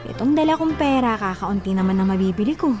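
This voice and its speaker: A woman's voice: medium pitch, lively intonation, fast, over music, close to an everyday voice. A woman playing a weary, long-suffering wife in her thirties, meek and evasive, in a Filipino radio drama.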